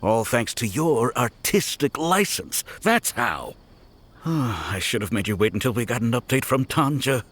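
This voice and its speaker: Dry shopkeeper, expressive mid-range voice. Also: male voice, medium pitch, unhurried, slight background noise. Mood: dry, weary. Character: level-headed, dry male toy shop worker, adult. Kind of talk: video game dialogue.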